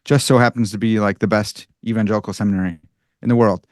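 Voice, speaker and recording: A man's voice: on the low side, fast, dry recording, clean studio sound. A man in his thirties with a nasal Chicago accent, talking casually and animatedly as a podcast guest. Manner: animated, bro-ish, self-deprecating. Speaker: podcast guest, philosopher and writer. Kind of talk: casual conversation.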